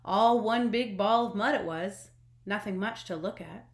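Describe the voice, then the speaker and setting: A woman reading a children's storybook aloud expressively, with dramatic emphasis and hushed phrase endings. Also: mid-range voice, unhurried. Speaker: storybook reader. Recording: echoey room.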